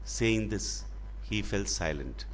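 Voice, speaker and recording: A man telling a children's fable in a dramatic, expressive way, with deliberate phrasing. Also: lowish voice, slight background noise. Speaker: storyteller.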